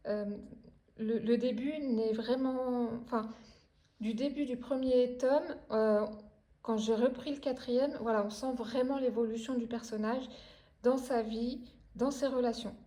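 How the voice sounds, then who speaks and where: French woman, late thirties, easygoing book-chat vlogger, warm mellow tone, slightly high voice, lots of "euh". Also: fairly even intonation, a little slow. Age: 30s. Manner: chatty, many hesitations and restarts. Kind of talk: casual chat about books.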